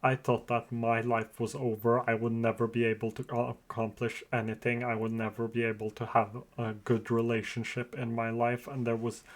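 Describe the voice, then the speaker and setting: A man's voice: mid-range voice, level tone, moderate pace, hardly any pauses. A man with a Norwegian accent who speaks Norwegian and Farsi natively, hosting his own podcast in an earnest, open and slightly hesitant monologue. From Norway. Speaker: podcast host. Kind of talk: casual solo podcast monologue.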